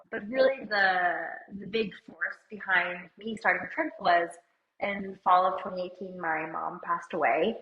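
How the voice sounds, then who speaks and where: A chatty woman with a slight cold hosts a relaxed talk, her voice a little muffled and dull. The room around her is roomy and echoey. She talks easily, with lots of "like" and light asides. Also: mid-range voice. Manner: chatty, uses "like" often, laughs lightly. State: has a cold. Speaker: podcast co-host and company CEO. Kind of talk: casual chat. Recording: roomy, somewhat dull sound.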